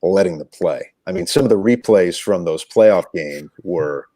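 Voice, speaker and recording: Male sports commentator as interview guest: very low register, dry recording, reflective, conversational delivery with emphatic phrasing. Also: measured pace, mellow, no echo.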